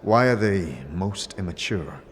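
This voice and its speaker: A man acts out a scarred, deathless wanderer of few, direct words. The voice is on the low side with a wide, dramatic sweep of pitch. It belongs to a fantasy audio drama. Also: animated, a little slow. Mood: questioning. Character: brooding immortal scarred wanderer, persuasive and direct.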